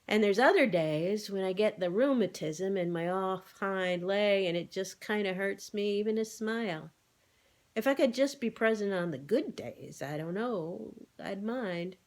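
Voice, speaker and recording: A woman voicing a humble, wistful male farm animal in a storybook, in a plain, rambling rustic manner. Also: medium pitch. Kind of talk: animal storybook read-aloud.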